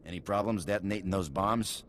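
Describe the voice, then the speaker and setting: An actor playing a plain-spoken, weary colony engineer in a video game, with a folksy, wry manner. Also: male voice, low-pitched, fairly quick, fairly bright tone, slight background noise. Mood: friendly, wry.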